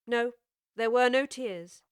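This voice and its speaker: A woman reads a storybook aloud in an even, measured way, clearly recorded on a studio mic. Her voice sits fairly high, and the sound is dry and close. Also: no echo. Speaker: narrator. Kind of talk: storybook narration.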